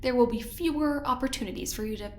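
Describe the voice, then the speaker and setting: Actress as a high-voiced, condescending woman in charge. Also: fast, a little mellow, slight background noise, close to an everyday voice. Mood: condescending, controlling. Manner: patronizing, mock-sweet, controlled. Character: smooth, condescending woman director of a spaceship research project. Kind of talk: audio drama acting. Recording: roomy, echoey sound, music underneath. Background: music.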